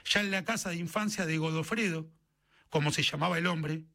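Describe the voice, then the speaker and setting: Man, Argentine accent, story narrator, high pitch, bright forceful tone, scripted literary reading. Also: brisk, gravelly.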